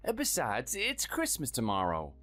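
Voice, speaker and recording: A man's voice: medium pitch, huge pitch swings, normal speed, soft background music. Someone voicing a timid, sickly husband in his thirties. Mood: pleading. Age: 30s. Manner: soft, hesitant, pleading. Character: meek sickly young husband, timid and apologetic.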